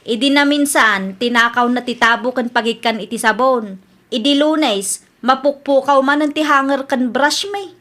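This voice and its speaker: A woman plays a fed-up housewife in an Ilocano comedy radio drama. Her voice is high and sharp, with an Ilocano accent and an accusing, exclamatory delivery. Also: moderate pace. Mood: indignant. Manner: complaining, exclamatory. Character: fed-up, accusing wife robbed by a neighbour.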